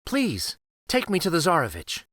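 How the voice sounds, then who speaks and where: A man's voice: fairly high pitch, huge pitch swings, moderate pace, a bit bright. A man voicing an earnest, brave young woman, the pleading heroine of a storybook fairytale.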